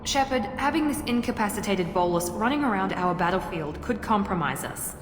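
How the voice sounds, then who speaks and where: A woman's voice: mid-range voice, expressive, fairly quick, background noise, close to an everyday voice. An actress playing a cool, practical female squadmate in a video game, with a formal, dry delivery. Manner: formal, dry, matter-of-fact. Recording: echoey reverberant hall, music behind.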